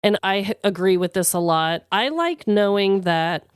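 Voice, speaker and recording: A woman co-hosting a podcast in an expressive, informal chat with listeners and her co-host. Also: mid-range voice, normal speed, clear, resonant tone, no room sound, clean studio sound. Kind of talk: casual chat, reading listener questions.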